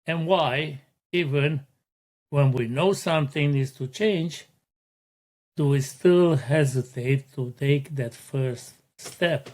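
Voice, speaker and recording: A middle-aged man hosts his own motivational podcast, talking slowly and deliberately. His voice sits a little high for a man, and he lays out each point with earnest, unhurried care. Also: darkish tone. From Romania. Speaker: podcast host.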